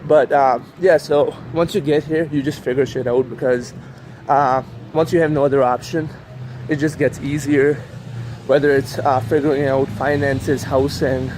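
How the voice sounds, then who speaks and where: A man's voice: medium pitch, steady tone, normal speed. Young man vlogging on a noisy street. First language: Urdu. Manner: loose, rambling, casual with frequent fillers. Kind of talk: casual walking vlog. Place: city street outdoors. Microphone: handheld camera while walking. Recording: street traffic noise behind.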